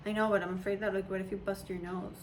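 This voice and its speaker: A woman's voice: mid-range voice, level tone, fast talker, a little hiss or hum, low-quality recording. A young woman, a mother, chatting casually and rambling as she explains her view. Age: young adult. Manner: chatty, rambling, laughs often. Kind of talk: casual chat.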